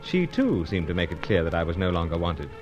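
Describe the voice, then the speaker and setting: An actor playing a suave, gentlemanly secret agent in an old-time radio adventure serial. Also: male voice, deep voice, very animated, brisk, highs cut off, faint music behind. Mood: dramatic narrating. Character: suave, self-assured male British secret agent narrating his adventure.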